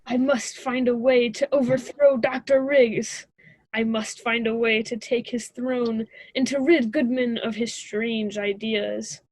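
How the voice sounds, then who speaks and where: A woman's voice: high voice, darkish tone. A young woman playing a self-important, scheming college student in a radio drama, with a grandiose theatrical delivery. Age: young adult.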